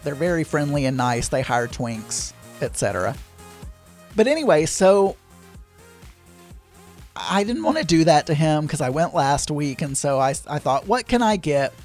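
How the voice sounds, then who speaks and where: A man with a hoarse, crackly voice, hosting a podcast in a rambling, chatty, digressive way with some self-deprecating asides. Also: fairly high pitch, lively intonation, a little slow, darkish tone, soft background music. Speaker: podcast host. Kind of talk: rambling casual chat.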